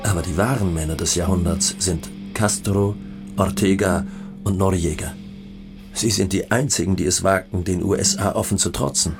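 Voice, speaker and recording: An actor playing a defiant, self-important drug lord declaiming public statements in a German radio documentary drama. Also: male voice, low-pitched, moderate pace, vocal fry. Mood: proud, defiant. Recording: music behind the voice.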